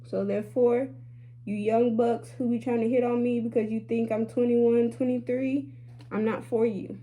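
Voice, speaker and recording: A woman's voice: on the high side, level tone, normal speed, warm tone. A woman in her late twenties talking candidly to her viewers in a casual vlog, chatty and sassy, calling them y'all. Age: 20s. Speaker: beauty vlogger.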